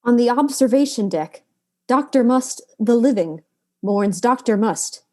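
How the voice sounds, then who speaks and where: Woman as a mellow, grandiose narrator. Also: on the high side, expressive, measured pace. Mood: solemn, theatrical. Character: grandiose, wry mystery-show narrator. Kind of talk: theatrical scripted narration.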